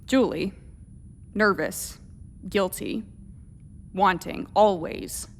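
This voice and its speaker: A woman acting in a radio play as a brooding, protective starship captain with a deliberate delivery. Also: mid-range voice, slight background noise. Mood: pensive, searching. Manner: halting, deliberate inner monologue and firm orders. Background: music.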